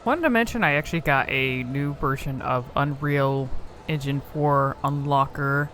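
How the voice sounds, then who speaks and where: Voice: mid-range voice, moderate pace, a bit bright, a little hiss or hum. A video creator giving casual, informal commentary on a game, exploring and thinking aloud.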